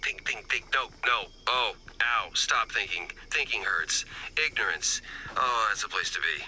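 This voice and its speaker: A man's voice: lowish voice, measured pace, sharp tone, slight background noise. A man voicing a talkative, self-deprecating, goofy scientist in a video game, rambling comically. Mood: befuddled. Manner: rambling, comic, swings from whispered asides to exclamations. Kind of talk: scripted game character dialogue.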